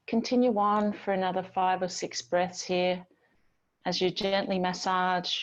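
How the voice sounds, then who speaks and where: A woman teaching yoga, guiding relaxation in a gentle, soothing, unhurried manner with long pauses between phrases. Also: fairly low pitch, level tone, dull audio. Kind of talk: guided yoga and relaxation instruction.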